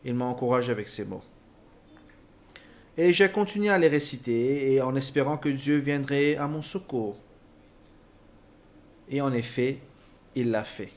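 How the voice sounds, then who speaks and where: A man interpreting a personal testimony, speaking haltingly with repeated words and self-corrections. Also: mid-range voice, dull audio. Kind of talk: spoken translation of a personal testimony.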